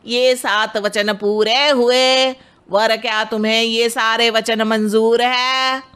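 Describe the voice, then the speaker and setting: Casting: woman playing ceremonious, cheerful priest at a story wedding, bright and unhurried. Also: a little high, fairly even intonation, smooth. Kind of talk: dramatized Hindi moral story.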